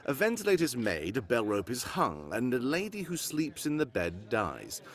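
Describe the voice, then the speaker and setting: An actor playing a confident, commanding detective in a comic live radio mystery, with theatrical, self-assured delivery. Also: male voice, mid-range voice, wildly swooping pitch, moderate pace. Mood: grave, dramatic. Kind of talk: live old-time radio mystery acting, comedic.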